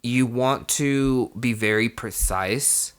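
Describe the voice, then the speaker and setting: A man's voice: medium pitch, takes it slowly, a bit bright, clear, resonant tone. A young man, born in Mexico, telling his own story in a casual, conversational way, peppering it with "you know" and the odd swear word. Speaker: vlogger. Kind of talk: casual personal storytelling to camera.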